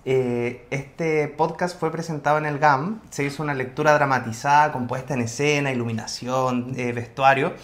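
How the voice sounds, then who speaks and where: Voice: medium pitch, steady tone, normal speed, few pauses. A podcast host asking questions in a conversational interviewing style.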